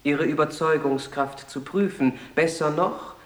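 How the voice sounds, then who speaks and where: An actor playing a solemn man recalling childhood scenes in formal, measured literary language in a radio play. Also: fairly high pitch, close to an everyday voice. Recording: echoey, reverberant room.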